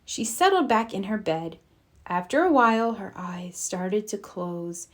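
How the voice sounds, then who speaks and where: A woman reading a children's storybook aloud in an expressive, deliberate storytelling style. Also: medium pitch. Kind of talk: read-aloud scripted narration.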